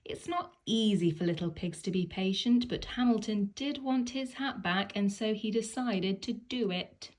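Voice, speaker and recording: A woman telling a children's story aloud in an expressive storybook style. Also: mid-range voice, moderate pace, few pauses. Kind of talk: expressive children's picture book read-aloud.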